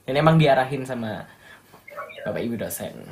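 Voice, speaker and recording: A man's voice: medium pitch, sing-song, moderate pace, crowd noise. Young male radio announcer from Malang, light Javanese accent, upbeat and motivational. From Malang, East Java. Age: young adult. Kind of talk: casual interview chat.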